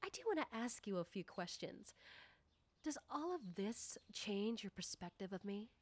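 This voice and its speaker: A woman playing a confident, nosy popular high school girl in an ASMR roleplay, talking coyly and teasingly to the listener. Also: very animated, normal speed. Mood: friendly, curious.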